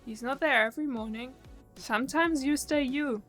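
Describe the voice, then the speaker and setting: A woman's voice: high voice, normal speed, a bit bright, faint music behind. An actress playing an affectionate, clingy young girl-group singer in an audio drama, tender and pleading in manner. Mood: tender reassurance. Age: young adult.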